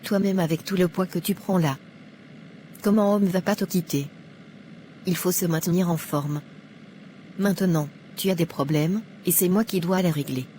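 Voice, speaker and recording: A self-righteous young cartoon churchwoman, acted by a woman, with a mellow, lowish voice. Her talk is chatty and smug, with some background noise. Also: fairly even intonation, normal speed, pauses often, natural voice. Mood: smug disapproval. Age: young adult. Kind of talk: animated moral drama acting.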